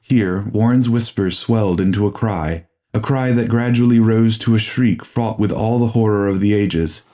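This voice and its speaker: A man reading a horror audiobook as a grave, formal, deliberate and dramatic narrator. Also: on the low side, dark tone.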